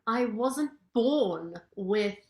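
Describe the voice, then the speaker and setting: A middle-aged woman from Zimbabwe, a transformation coach, telling her personal story in an interview with lively storytelling. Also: a little high, drawn-out words. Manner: animated storytelling, acts out quoted thoughts. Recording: roomy echo.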